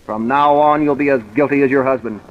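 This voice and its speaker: An actor playing a stern, insistent police commissioner with deliberate authority, in old-time radio drama style. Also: male voice, mid-range voice, steady tone, natural voice. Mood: insistent, pressing. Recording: dull, hissy vintage radio recording.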